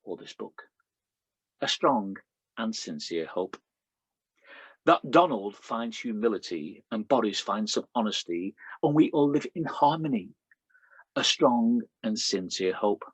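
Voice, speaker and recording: Husky Lancashire man reading poems. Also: medium pitch, dry recording, rough recording. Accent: Lancashire English. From Lancashire, England. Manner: rhythmic, emphatic reading with chatty asides and fillers. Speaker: poet. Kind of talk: poetry reading with spoken introductions.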